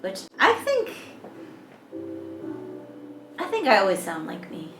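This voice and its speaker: High, squeaky-voiced woman podcaster. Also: huge pitch swings, slow pace. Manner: chatty, laughs easily, trails off and restarts. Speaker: podcast co-host. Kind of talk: casual chat. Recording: roomy echo with music playing behind.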